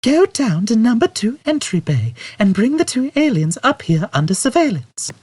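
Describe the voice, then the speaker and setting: A man voicing a patient, firm woman aboard a spaceship in an audiobook, bright and compassionate in manner. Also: very high-pitched, sing-song, normal speed, slightly rough, no echo, pitched above the performer's own voice, heavily acted.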